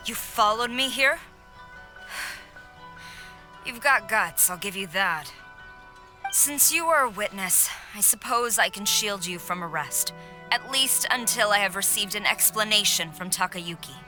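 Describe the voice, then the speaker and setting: A woman's voice: medium pitch, animated, unhurried, pauses often, over music, lightly acted. A woman voicing a stern, loyal young woman general in a video game, formal and clipped.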